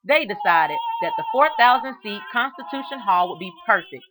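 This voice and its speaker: A woman's voice: medium pitch, lively intonation, moderate pace, music in the background, poor audio. Woman reading a storybook aloud, expressively. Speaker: reader of a children's book aloud. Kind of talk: read-aloud storybook narration.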